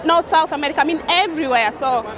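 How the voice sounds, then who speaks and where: A woman from Kenya, a nursing graduate, talking excitedly about her degree in a street interview at an outdoor graduation. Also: high voice, quick pace. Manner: excited, effusive. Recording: loud crowd noise behind.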